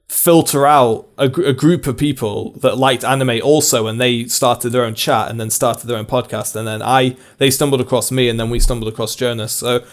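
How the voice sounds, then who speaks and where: A male podcast host in loose, casual conversation, with a voice of middling pitch. He is playful and jokey and laughs often as he talks. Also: fairly even intonation, moderate pace, hardly any pauses.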